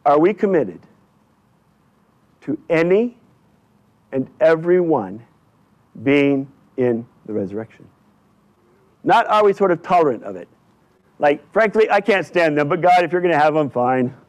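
A male pastor delivering a church sermon in deliberate, emphatic short phrases with repetition. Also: fairly high pitch, sing-song. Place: indoors in a church at a lectern. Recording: dull, slightly lo-fi church recording.

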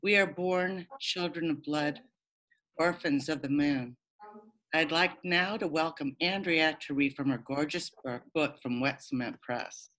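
A woman editor reading a prepared introduction of an author on a video call, upbeat and warm. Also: deep voice, measured pace, low-quality recording.

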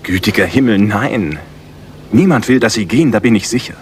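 An actor playing an earnest, naive and gallant gentleman officer, kindly reassuring, in a German radio crime drama. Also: on the low side, expressive, a little fast, a little hiss or hum, lightly acted.

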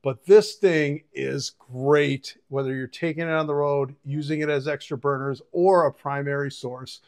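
A man's voice: fairly high pitch, fairly even intonation, measured pace. A man in his forties giving an enthusiastic, casual, conversational product review and demonstration to camera. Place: home kitchen, indoors. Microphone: clip-on lapel mic.

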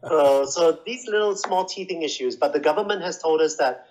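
A man answering interview questions casually, pausing and repeating words as he explains. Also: a little high, darkish tone, rough recording. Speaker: interviewee, language school manager.

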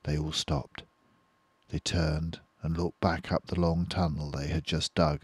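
Older man reading a storybook, very deep. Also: husky, no echo. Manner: slow, expressive, doing all the voices. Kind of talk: reading a children's book aloud.

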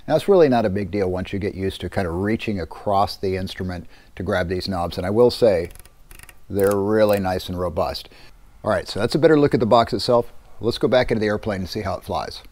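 A man's voice: lowish voice, fairly quick, darkish tone. A man in his fifties, an aviation magazine editor, casually explaining a piece of equipment in a workshop. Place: indoor hangar workshop.

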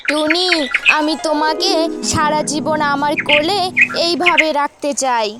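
A woman's voice: high voice, measured pace. A woman voicing a greedy, deceitful young male crow suitor in a children's cartoon. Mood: wooing. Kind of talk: children's cartoon story voice acting. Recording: music behind the voice.

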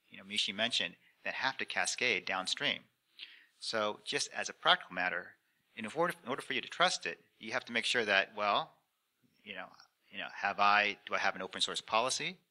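Bright, forceful low-voiced man on a panel. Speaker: conference panelist. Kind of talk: unscripted panel explanation. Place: conference room panel before an audience. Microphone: handheld microphone.

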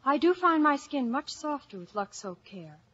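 A woman's voice: sits high, big pitch swings, normal speed, fairly bright tone. A woman speaking in a scripted 1950s radio commercial, chirpy and enthusiastic, on a dull broadcast recording.

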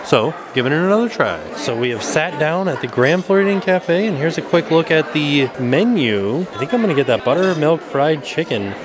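A man hosting a vlog, chatting upbeat about food on a camera mic in a busy hotel restaurant. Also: medium pitch. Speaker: vlog host. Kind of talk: casual vlog narration. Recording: crowded room with piano music. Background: crowd chatter and piano music.

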